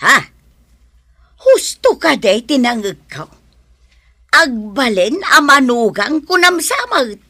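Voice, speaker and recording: A woman's voice: high voice, big pitch swings, slow, long pauses, bright, forceful tone, dry recording, heavily acted. A woman playing a disdainful, skeptical mother in a melodramatic audio drama. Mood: incredulous. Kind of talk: melodramatic Ilocano radio drama acting.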